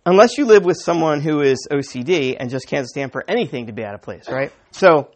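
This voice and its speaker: A male pastor delivers a conversational sermon in a slightly high voice with a sniffly, mildly raspy tone. His pitch rises and falls in a lively way as he chuckles and keeps checking in with the congregation. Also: a little fast.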